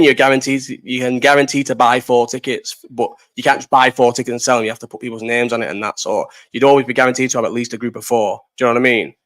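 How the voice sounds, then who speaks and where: A young man talks fast and loosely, hosting a live chat and answering viewers. He rambles, swears and laughs often. His pitch sits in the middle of the usual male range. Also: fairly bright tone. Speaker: livestream host. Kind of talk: casual live chat reading comments.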